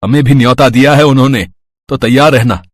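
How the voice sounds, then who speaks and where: A man's voice: medium pitch, fairly quick, no room sound, clean studio sound, close to an everyday voice. A man voicing a cheerful, eager village husband in a children's moral story. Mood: excited.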